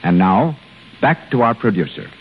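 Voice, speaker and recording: A man's voice: fairly low pitch, big pitch swings, moderate pace. An American man announcing in formal 1930s radio style. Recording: tinny, noisy 1930s radio recording.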